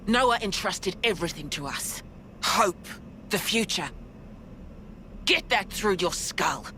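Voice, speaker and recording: A woman's voice: mid-range voice, animated, slow, pushed and bright, a little hiss or hum, heavily acted. A woman playing a tough, blunt young soldier in a video game cutscene, stern and commanding. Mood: impassioned.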